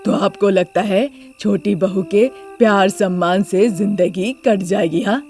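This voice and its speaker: A bossy older mother-in-law from a moral story, played by a woman, at a medium pitch. She is scornful and complaining, with faint music underneath. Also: unhurried, a little mellow, natural voice. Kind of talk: Hindi moral story character voice.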